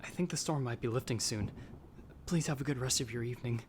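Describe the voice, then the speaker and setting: A man playing a timid young traveler in an ASMR audio roleplay, speaking softly and hesitantly. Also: medium pitch, fast talker, natural voice. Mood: panicked, pleading. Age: young adult. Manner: soft, hesitant, stammering when afraid. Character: timid young male traveler, storyteller trapped by a vampire. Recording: background storm noise.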